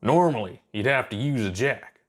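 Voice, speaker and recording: A man's voice: mid-range voice, lively intonation, moderate pace, pitched above the performer's own voice, lightly acted. Man acting a folksy veteran space pilot. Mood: casual, instructing. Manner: casual, folksy, drawling. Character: gruff, easygoing veteran space pilot and flight instructor.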